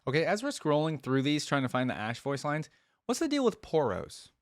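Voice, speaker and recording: A man's voice: fairly high pitch, wildly swooping pitch, brisk. A man hosting a reaction video, talking casually and earnestly like an enthusiastic fan.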